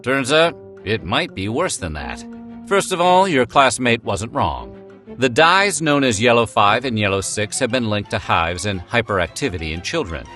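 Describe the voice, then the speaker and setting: Lively wry male video narrator. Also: mid-range voice, moderate pace. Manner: wry, jokey asides. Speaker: narrator of a food history video. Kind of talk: playful scripted narration. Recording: music bed behind the voice. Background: background music.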